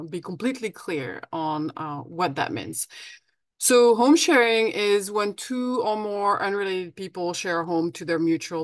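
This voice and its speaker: A woman's voice: lowish voice, no echo. A woman from France with a French accent, giving a friendly, practical presentation over a video call with plenty of fillers and restarts. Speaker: guest presenter.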